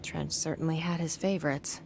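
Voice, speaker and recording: An actress playing a wry, guarded young woman's inner thoughts in a video game, hushed and breathy. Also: deep voice, steady tone, moderate pace, background noise. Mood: wry, private. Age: young adult.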